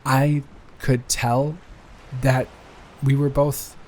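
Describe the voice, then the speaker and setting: A man playing a nervous, adoring young boyfriend in an improvised intimate roleplay audio, speaking in a halting, bashful, rambling way. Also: medium pitch, flat delivery, darkish tone, a little hiss or hum. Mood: nervous, earnest.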